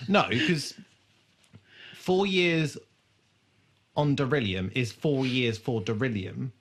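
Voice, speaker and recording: A man co-hosting a casual comedy podcast, chatting in a sweary, animated way and often laughing. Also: medium pitch, takes it slowly, husky. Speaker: podcast host. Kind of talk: casual comedic chat about a TV show.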